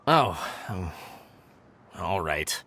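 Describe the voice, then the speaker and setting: Dutiful, expressive young brother. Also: male voice, sits low, drawn-out words, noisy background, heavily acted. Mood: apologetic, awkward. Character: contrite, dutiful young brother, slightly awkward. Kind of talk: video game cutscene acting. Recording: clear music underneath.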